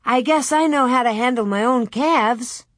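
A bossy young farm boy as a woman storyteller acts him out speaks up high and proud. He gives orders with confidence, in the manner of a children's storybook. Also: moderate pace, no echo, studio quality, lightly acted. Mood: bossy, confident. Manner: commanding, shouting orders.

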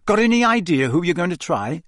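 A man's voice: high voice, very animated, fairly quick, fairly bright tone, dry recording, clean studio sound, pitched above the performer's own voice. A man voicing a cheeky teenage boy who talks in an offhand, joking, encouraging way. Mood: teasing.

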